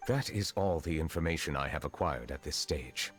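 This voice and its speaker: A grave fantasy game magistrate with an extremely low voice. He is formal and reserved, choosing stately words with measured care. Faint music plays behind him. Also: lively intonation, husky, exaggerated voice. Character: solemn, formal male chief justice, centuries old, reserved. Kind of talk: fantasy video game voice acting.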